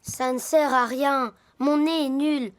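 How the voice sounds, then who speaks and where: A woman's voice: high-pitched, fairly even intonation, slow pace. A woman voicing a young boy animal inventor in a children's cartoon, earnest, plaintive and unsure of himself. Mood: dejected. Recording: music behind the voice.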